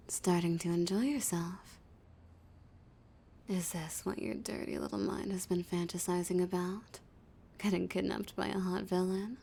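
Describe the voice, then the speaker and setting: A woman voicing a flirty, dominant female villain in an intimate audio roleplay, teasing and often dropping into a breathy whisper. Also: mid-range voice, warm tone. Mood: teasing, seductive.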